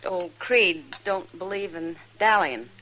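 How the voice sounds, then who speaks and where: A woman's voice: on the low side, takes it slowly, pushed and bright. A woman playing a worldly, wry saloon keeper in a radio western, with a knowing, teasing and direct manner. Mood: teasing, friendly. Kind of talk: 1950s radio western acting. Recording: noisy old radio recording.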